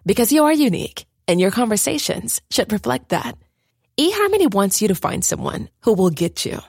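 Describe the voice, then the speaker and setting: A woman's voice: medium pitch, very animated, fairly quick, vocal fry, a little mellow, breathy edge, dry recording, studio quality. A woman reading a commercial in an upbeat, persuasive way. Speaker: ad reader. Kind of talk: read commercial.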